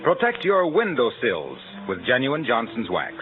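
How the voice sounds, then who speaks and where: A man's voice: medium pitch, wildly swooping pitch, normal speed. A man working as a 1940s radio announcer, reading scripted introductions and sponsor copy in a polished, salesmanlike way. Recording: narrow-band vintage radio, faint music.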